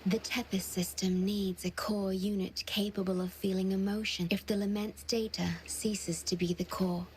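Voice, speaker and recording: Soft, even-voiced gentle female guardian acted by a woman. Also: medium pitch, normal speed, few pauses, slight background noise, natural voice. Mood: solemn farewell. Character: gentle, devoted, self-sacrificing female guardian. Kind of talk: scripted video game cutscene acting.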